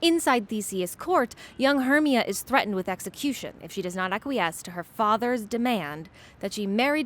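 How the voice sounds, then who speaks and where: Lady narrating a story intro from a script, normal mid pitch, audio drama narrator.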